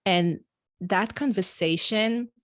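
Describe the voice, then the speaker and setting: A woman's voice: mid-range voice, takes it slowly, dry recording, clean studio sound. A middle-aged woman with an Israeli accent and Hebrew as her first language, telling her own story in a reflective, candid interview style. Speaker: podcast guest, executive coach and author. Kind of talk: conversational interview, personal storytelling.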